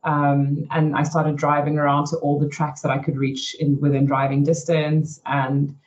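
A woman's voice: deep voice, level tone, moderate pace, darkish tone, lo-fi sound. A young woman from South Africa, a racing driver, telling her story frankly as an interview guest, with lots of "you know" and "like". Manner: chatty and frank, many "you know" and "like" fillers.

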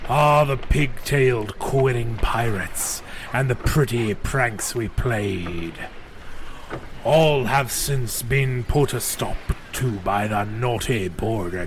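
Male poetry reader, mid-pitched, unhurried and declamatory, slight noise behind. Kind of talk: dramatic poetry recitation.

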